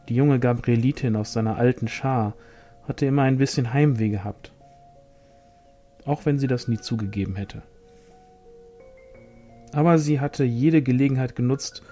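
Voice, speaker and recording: Bright-voiced man reading a fantasy novel. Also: medium pitch. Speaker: audiobook reader. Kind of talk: scripted narration of a fantasy novel.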